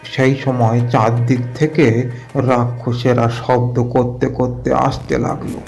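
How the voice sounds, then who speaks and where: A man reads a fairy tale as a dramatic audio story. His voice is mellow and creaky, with slow, deliberate phrasing. Music plays softly beneath him. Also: medium pitch, flat delivery. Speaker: storyteller narrator.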